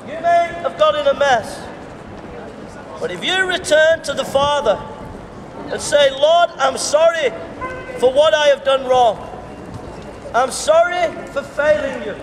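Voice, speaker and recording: High-voiced man preaching slowly outdoors. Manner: slow, repetitive, emphatic preaching cadence. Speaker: street preacher. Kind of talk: open-air street sermon. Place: pedestrian shopping street outdoors. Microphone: far camera. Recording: roomy outdoor sound, distant reverb, clear street noise. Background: town street ambience and passing traffic.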